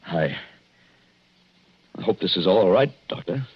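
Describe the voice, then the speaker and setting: An actor playing an anxious young husband, protective and skeptical, with jittery, emphatic reassurances in 1940s radio drama style. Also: male voice, low voice, lively intonation, measured pace, slight background noise. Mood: worried, disbelieving. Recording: dull 1940s radio recording.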